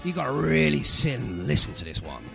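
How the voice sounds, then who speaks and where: A man's voice: medium pitch, big pitch swings, a little fast, gravelly, bright, forceful tone. A man hosting a radio show with casual, jokey, teasing chat about music.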